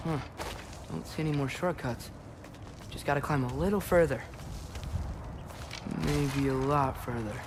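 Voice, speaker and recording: A man's voice: medium pitch, unhurried, long pauses, noisy background, close to an everyday voice. A man voicing a plucky, wry young male apprentice in a video game, with music behind. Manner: wry, dry, self-talking. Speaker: game character. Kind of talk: video game cutscene acting.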